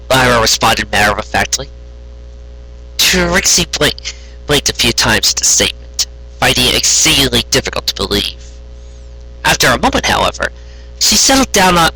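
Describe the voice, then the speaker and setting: A man reading a story aloud as its narrator, in an expressive storytelling style. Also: medium pitch, slightly rough, low-quality recording. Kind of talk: expressive fan fiction narration, read aloud.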